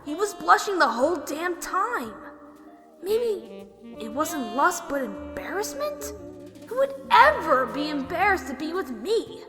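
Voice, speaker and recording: A woman's voice: extremely high pitch, sing-song, measured pace, fairly bright tone, long reverb tail, full character voice. A woman voicing a brash, vain, lustful pegasus mare adventurer who mutters indignantly to herself in a fan audio drama. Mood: wounded pride. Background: clear background music.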